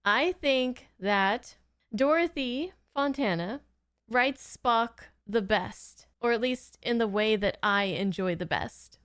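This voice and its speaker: A woman reaction host with a clear, smooth voice, talking unhurriedly. She reflects casually and appreciatively in a dry, close-sounding recording. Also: on the high side, takes it slowly, a bit bright, no echo. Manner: appreciative, thoughtful.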